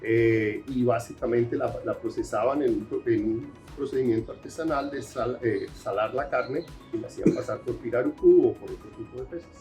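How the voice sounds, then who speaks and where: A man's voice: mid-range voice, moderate pace, hardly any pauses, over loud music. A Colombian man with an accent tinged by years in Brazil, answering interview questions as a researcher, with many fillers and restarts. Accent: Colombian Spanish with Brazilian Portuguese traces. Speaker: researcher guest.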